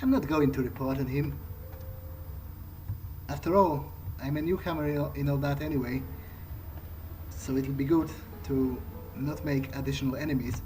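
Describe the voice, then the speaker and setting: A man's voice: mid-range voice, steady tone, moderate pace, pauses often, dark tone, poor audio. A man improvising in character during a game playthrough, hesitant, thinking aloud and repeating words. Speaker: gameplay host, role-playing his character. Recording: clear background noise.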